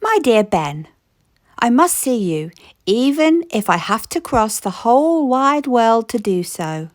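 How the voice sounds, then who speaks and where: A woman reading as a brisk, loving old granny. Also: on the high side, very animated, no room sound, studio quality. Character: determined, loving old grandmother.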